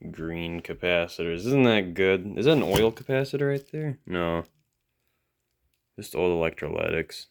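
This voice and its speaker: A man's voice: deep voice, expressive, measured pace, creaky. A man giving casual, off-the-cuff hands-on commentary as a hobbyist video host, musing and thinking aloud as he goes.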